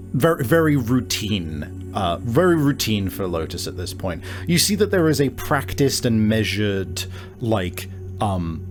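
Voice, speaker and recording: A man working as game master, improvising narration in an informal, halting way as he searches for words. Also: fairly low pitch. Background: background music.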